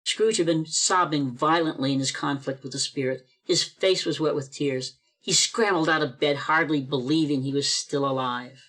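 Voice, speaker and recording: A man narrates a radio play in a steady storytelling manner, his voice a little high for a man. He is reading over a video call. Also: normal speed, few pauses.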